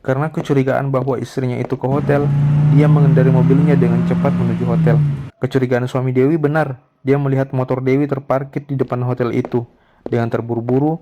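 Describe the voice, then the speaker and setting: A man's voice: mid-range voice, background noise. A man narrating a scripted drama story as its storyteller.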